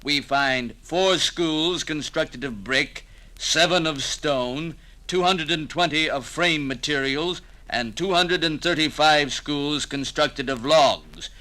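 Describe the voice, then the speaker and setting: An actor in a 1950s radio drama playing a formal nineteenth-century school superintendent declaiming an official report. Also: male voice, on the high side, takes it slowly, sharp tone.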